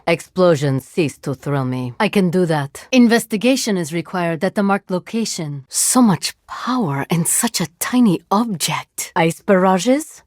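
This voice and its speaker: An actress voicing a calm, clinical reanimated woman agent who commands drones, speaking in a precise, formal, deadpan way. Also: fairly low pitch, no room sound, clean studio sound. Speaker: video game character. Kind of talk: game voice lines. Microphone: close studio mic.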